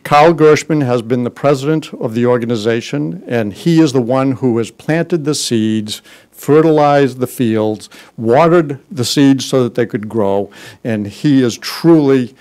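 A man's voice: medium pitch, a little slow, a little mellow. A man in his sixties with a Boston accent, speaking at a podium in a conference hall, introducing speakers with loose jokes, fillers and restarts. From Boston area, US. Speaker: board member introducing speakers. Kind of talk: informal podium speech. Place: large conference hall, indoors. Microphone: podium microphone.

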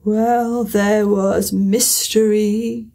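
A woman reading aloud and voicing a mournful male Mock Turtle creature who sighs and sobs as he speaks slowly. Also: medium pitch, little pitch movement, gravelly, dark tone, heavily acted. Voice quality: slow, drawn-out, sighing.

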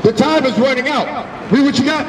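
A man's voice: extremely high pitch, a little fast, background noise. A man preaching on a city sidewalk, questioning his listeners in call-and-response. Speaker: street preacher. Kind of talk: street preaching. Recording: echoey outdoor street sound with crowd, from far off.

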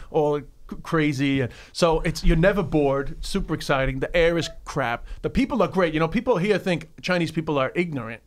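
A man in his forties, a comedian guest, chatting on a studio mic and acting out quick quotes as he tells stories. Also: mid-range voice. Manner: storytelling with quick acted-out quotes and laughs. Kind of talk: animated interview chat. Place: radio studio. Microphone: studio mic close up.

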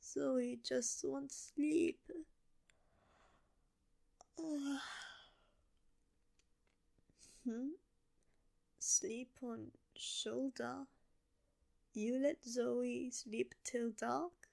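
Woman playing a sleepy gravelly zombie girl. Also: high voice, steady tone, a little mellow, full character voice. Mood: drowsy. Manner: slow, drowsy, broken simple grammar. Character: sleepy friendly female zombie girl, broken speech. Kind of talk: ASMR roleplay.

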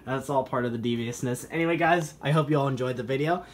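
A man talks casually in a mid-range voice, as if showing his collection to viewers at home. He halts and thinks aloud as he goes. The amateur handheld recording has clear noise behind him. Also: medium pitch, fast talker. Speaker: hobby vlogger showing a weather radio collection. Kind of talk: casual handheld show-and-tell vlog. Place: indoor room at home. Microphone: handheld camera or phone, behind the lens.

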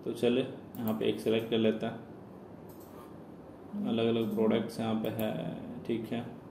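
A man talks viewers through an online ordering tutorial step by step. His voice sits at a middle pitch and keeps a friendly, instructive tone. It was recorded in an echoey room with a faint noise bed behind it. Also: completely flat delivery, unhurried, creaky, warm tone. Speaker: tutorial presenter.

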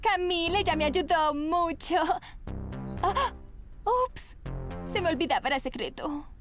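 A sweet, naive teenage girl in a cartoon. Her voice is extremely high, earnest and expressive. Music plays clearly behind her. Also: measured pace. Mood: confused, sheepish. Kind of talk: animated series dub in Spanish.

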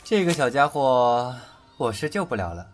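A man plays a flippant young martial-clan nobleman in a Chinese period radio drama. His middling voice moves in lively, teasing swings over music. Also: medium pitch, normal speed, close to an everyday voice. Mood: resigned, teasing. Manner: nonchalant, teasing, sighing. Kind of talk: Chinese historical audio drama acting. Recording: background music under the voice.

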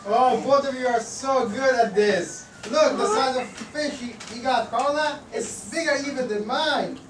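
Lady doing eager papa bear puppet, kinda high voice, lots of room echo. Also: measured pace. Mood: proud. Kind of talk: children's puppet show acting. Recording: echoey, noisy room from far off.

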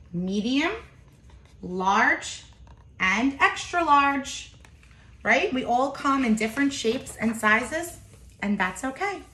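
Bright-voiced woman reading to kids. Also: on the high side, a little hiss or hum. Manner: expressive, simple explanatory asides. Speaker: teacher reading aloud to children. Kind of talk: picture-book read-aloud with asides to young children. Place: home kitchen, indoors. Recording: roomy, echoey home kitchen.

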